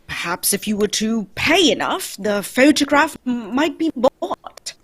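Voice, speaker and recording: A shrewd gentleman detective played by a woman, high in pitch and slightly husky. The delivery swings widely in tone, formal and polite, in the manner of an old-time radio mystery. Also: big pitch swings, measured pace, exaggerated voice. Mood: musing.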